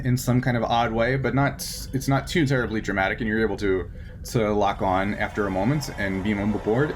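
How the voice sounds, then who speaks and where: A man running a tabletop game, improvising narration and bantering in a jokey, chuckling way. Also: medium pitch. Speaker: game master. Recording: noisy room, steady background noise.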